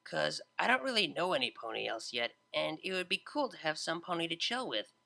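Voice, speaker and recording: Play a laid-back, teasing young mare DJ as the story's reader puts her on: bright and a little gravelly, lively up and down, slangy and blunt, a touch back from the mic. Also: mid-range voice, brisk, heavily acted. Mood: shy. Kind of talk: fan fiction reading, character voice.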